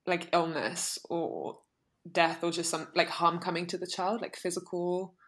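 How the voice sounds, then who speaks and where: Young woman, podcast co-host, low-ish voice, reflective casual talk. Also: steady tone, measured pace, fairly bright tone. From United Kingdom. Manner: reflective, filler-heavy with many likes.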